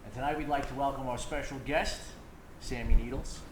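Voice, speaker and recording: A man's voice: medium pitch, fairly even intonation, brisk. A man from Brooklyn co-hosting an interview show, easygoing and friendly as he asks questions. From Brooklyn, New York. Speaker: co-host. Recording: echoey room with noise.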